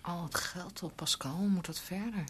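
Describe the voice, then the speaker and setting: Actress as a tender, low-voiced young woman. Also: fairly even intonation, moderate pace, very noisy, lightly acted. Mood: worried. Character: tender, devoted young woman, anxious and caring. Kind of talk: Dutch radio drama acting.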